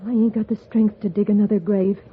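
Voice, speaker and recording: A woman's voice: mid-range voice, little pitch movement, brisk, husky. An actress playing a wary, desperate American pioneer mother with terse, folksy rural speech in an old-time radio western. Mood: weary, despairing. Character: wary, desperate frontier mother guarding her sick baby. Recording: muffled, tinny old radio broadcast.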